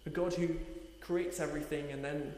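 A man's voice: on the high side, steady tone, a little mellow, slight background noise. Man preaching in an echoey hall. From Nepal. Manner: earnest, slowing for emphasis, rhetorical questions. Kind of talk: sermon.

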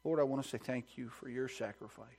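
Man, pastor, sermon, pulpit mic, church sanctuary, mid-pitched voice, fervent preaching. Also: quick pace, creaky. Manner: builds to loud, high-pitched preaching fervor; soft, hushed praying voice. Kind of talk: sermon with scripture reading and prayer.

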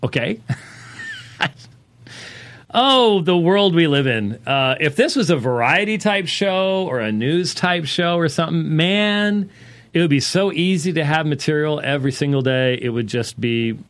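A man's voice: fairly high pitch, a bit bright. A man hosting a religious call-in podcast, talking casually and unscripted in a digressive, wry way. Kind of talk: casual unscripted talk show monologue.

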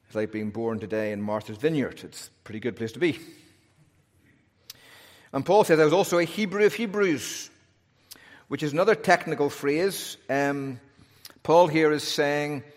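A man with a Northern Irish accent, preaching a sermon in a relaxed, conversational way with humorous asides. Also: medium pitch, normal speed. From Northern Ireland. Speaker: pastor. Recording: long hall reverb.

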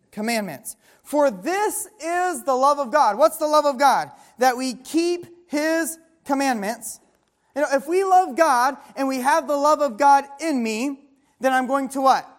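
Female preacher, high pitch, call-and-response sermon, slow scripture reading.

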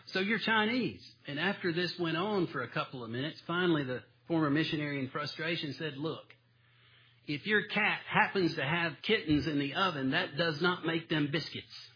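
A man's voice: fairly high pitch, lively intonation, normal speed, lo-fi sound, a little hiss or hum. A man preaching a sermon in a church, folksy and self-deprecating, with homespun stories and plain illustrations. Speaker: pastor. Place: church sanctuary.

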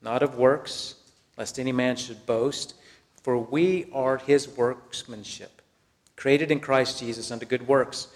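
An American man delivers a sermon at a mid-range pitch. He preaches in deliberate phrases, leaning on key words and letting the ends drop softly, and moves between teaching and reading scripture. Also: steady tone. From Texas, United States.

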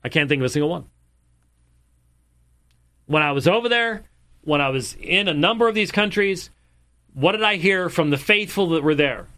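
A man with a rather high, bright and forceful voice comments on clips. He speaks emphatically and hammers his points home by repeating them. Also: lively intonation, normal speed, long pauses.

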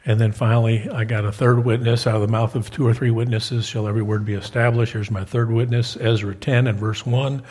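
A male preacher at a middle pitch, talking in a folksy way and slipping in playful asides. He climbs sharply louder and higher on the words he wants to land. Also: level tone, brisk, few pauses, husky. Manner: folksy, joking asides, rising to emphatic peaks on key words. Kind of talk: sermon.

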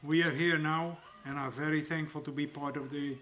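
A man around seventy reads a prepared baptism testimony to his church in a steady, plain way. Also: on the high side, normal speed, a little hiss or hum.

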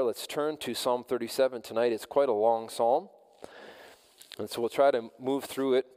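A man preaching a sermon as pastor, measured and earnest, often repeating phrases for emphasis. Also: mid-range voice, darkish tone, in a large hall. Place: church.

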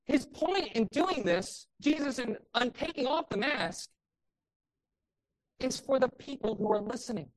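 Woman pastor, fairly high voice, loud and impassioned in the pulpit, hits emphatic peaks. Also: lively intonation, unhurried, rough recording. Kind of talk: sermon.

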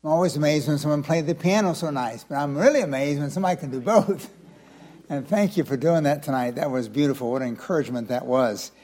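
An older man preaching an evening sermon, his voice a little raspy from strain. He talks folksy and chatty, with loose asides, then climbs into emphatic preaching. His pitch sits a touch higher than most men's. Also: fast, darkish tone. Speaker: pastor.

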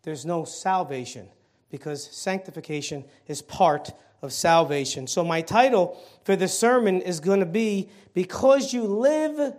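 A man preaching an evening sermon in church, conversational with fillers and restarts, his throat a little hoarse. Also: high-pitched, unhurried, darkish tone. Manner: conversational, many fillers and restarts, rising emphasis on key points. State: slightly hoarse throat, clearing it. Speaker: lay preacher filling the pulpit. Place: church sanctuary.

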